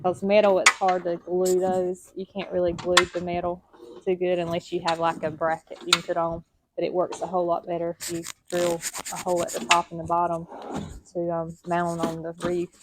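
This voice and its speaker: Soft-spoken woman, flat and low. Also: moderate pace, very noisy. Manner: soft-spoken, plain and hesitant, many ums. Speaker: homestead vlogger. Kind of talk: casual talk to camera.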